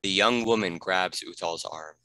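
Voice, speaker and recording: A man's voice: low voice, moderate pace, pushed and bright, dry recording, studio quality. A man giving scripted narration, reading out the stage directions of a screenplay.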